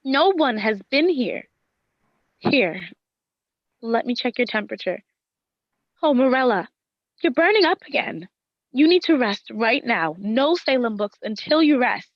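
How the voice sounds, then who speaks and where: A devoted, worried wife telling a gothic tale for a radio play. Her voice sits fairly high and her phrasing is literary and formal. The recording is dry and slightly dull. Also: lively intonation, unhurried, long pauses, no echo. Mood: worried, firm. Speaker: actress in an audio drama. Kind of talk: dramatic first-person radio play narration and dialogue.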